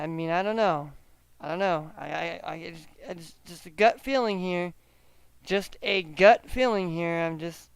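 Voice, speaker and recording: A man hosting a casual solo sports betting chat, often halting and repeating himself as he talks through his picks. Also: sits high, gravelly, clear, resonant tone, dry recording. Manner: halting, repeats words, hedging gut calls. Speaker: sports betting show host.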